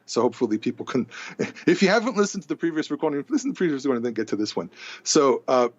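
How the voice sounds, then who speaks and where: A man's voice: mid-range voice, fast talker. Man telling a story, lo-fi. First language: Hebrew. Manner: animated storyteller, stumbles and repeats words. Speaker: podcast guest. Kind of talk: casual storytelling conversation.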